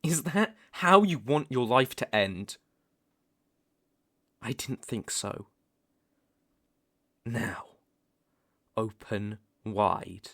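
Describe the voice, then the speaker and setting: A man with a British accent playing a stern young vampire hunter, menacing yet reluctantly protective, in an ASMR roleplay with deliberate pauses and sighs. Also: on the low side, gravelly, a bit bright, heavily acted. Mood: stern, insistent.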